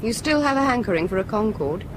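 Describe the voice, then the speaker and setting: An actress playing a calm, inquisitive woman on a ship's bridge, talking conversationally in a radio drama. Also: medium pitch, quick pace, background noise, close to an everyday voice. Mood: wry, gentle. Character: calm, questioning woman serving coffee aboard a ship.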